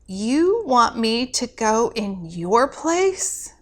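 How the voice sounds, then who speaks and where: A woman's voice: a little high, big pitch swings, extremely slow, fairly bright tone, exaggerated voice. A woman voicing a young non-binary novice angel, earnest and unsure, with a hesitant manner, in a read-aloud story. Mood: doubtful.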